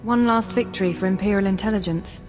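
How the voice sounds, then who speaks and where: An actress playing a loyal, earnest intelligence officer in a video game, speaking formally yet in a friendly way. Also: female voice, mid-range voice, fast, natural voice. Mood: relieved. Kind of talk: scripted dramatic game acting. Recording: reverberant, loud music behind.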